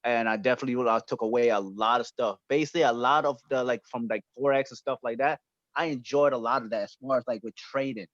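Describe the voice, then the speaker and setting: A man's voice: mid-range voice, fairly bright tone, no echo. Hesitant man giving call feedback. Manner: halting, many fillers, repeats "definitely". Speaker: caller, guest on the call. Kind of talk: casual spoken testimonial. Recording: dry, dull call audio.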